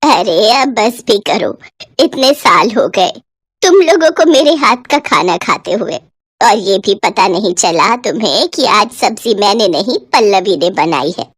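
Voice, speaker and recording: A woman voicing an aging widowed mother in a story, affectionate and plaintive, with a motherly, gently chiding manner. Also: mid-range voice, animated, a little slow, slightly rough, no room sound, clean studio sound, pitched below the performer's own voice. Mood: mock chiding.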